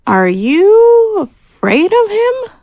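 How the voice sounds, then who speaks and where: A woman's voice: very high voice, huge pitch swings, drawn-out words, dark tone, no room sound, studio quality, exaggerated voice. A woman playing a curious, frank young female adventurer in a fantasy audio drama, with an inquisitive manner.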